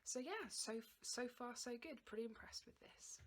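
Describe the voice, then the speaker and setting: A woman's voice: fairly high pitch, normal speed, over music. A woman vlogger giving a casual, chatty and understated makeup review to the camera in an informal way.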